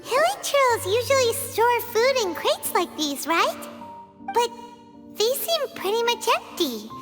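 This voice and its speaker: A woman voicing a tiny, excitable, childlike fairy girl with a squeaky voice, naive and kindly, in a video game. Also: slow pace, heavily acted. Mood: pondering. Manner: speaks of herself in third person, expressive exclamations. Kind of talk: video game cutscene voice acting. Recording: music playing behind the voice.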